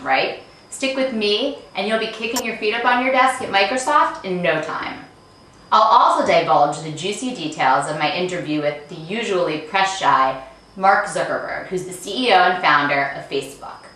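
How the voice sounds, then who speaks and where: A woman delivering a chatty, scripted promotional pitch as an author, with a breezy, playful manner. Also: lively intonation, moderate pace, pushed and bright. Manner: breezy, playful, asks and answers rhetorical questions. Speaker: author promoting her book and talks. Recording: very echoey room, distant mic, faint noise.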